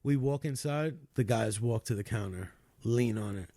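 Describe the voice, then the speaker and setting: A man's voice: mid-range voice, moderate pace, no echo. Laid-back storytelling guy in his thirties. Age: 30s. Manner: animated storyteller, laughs often. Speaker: podcast guest. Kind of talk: casual chat.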